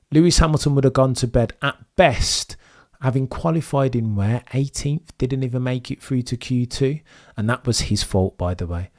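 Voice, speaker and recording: A man's voice: mid-range voice, darkish tone. A young man commentating on a live stream and reading chat. He is losing his voice, and he talks in opinionated, rambling runs full of tag questions. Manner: opinionated, rambling, frequent "right?" tags. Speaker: Formula 1 streamer.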